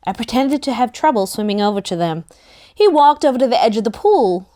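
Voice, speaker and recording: A woman narrating a first-person story in an expressive, youthful storytelling manner. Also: on the high side, fairly quick. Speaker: narrator in a book table read.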